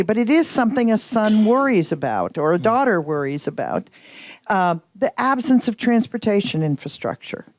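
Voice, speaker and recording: A middle-aged American woman, a professor, speaks on a conference panel in a voice that sits at an ordinary middle pitch. She is candid and thinks aloud, restarting her sentences. The sound is close and dry, with no room echo. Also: a little mellow. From United States. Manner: candid, restarts and self-corrections. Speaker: professor and mentor. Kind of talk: panel discussion.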